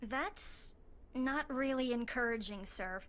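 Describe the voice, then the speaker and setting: An actress playing an anxious, eager teenage schoolgirl with frightening powers in a video game, talking informally, haltingly and earnestly. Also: a little high, a bit bright. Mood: uneasy. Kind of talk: video game cutscene acting.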